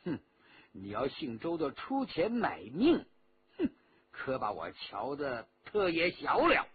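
A man voicing a proud old martial-arts master, stern and restrained, in a low husky voice with slow, weighty delivery, in told storytelling. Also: huge pitch swings, a little hiss or hum, exaggerated voice. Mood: scornful. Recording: dull, lo-fi audio.

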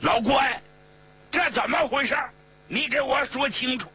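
A man voicing a blunt, furious army commander in a loud, demanding, indignant way within a told story. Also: very high voice, unhurried, breathy edge, pitched above the performer's own voice, heavily acted. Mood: angry, indignant. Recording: muffled radio-like recording with mains hum.

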